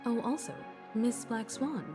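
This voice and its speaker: An actress playing a composed, courteous starship navigator in a video game. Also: female voice, a little high, expressive, unhurried. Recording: music behind the voice.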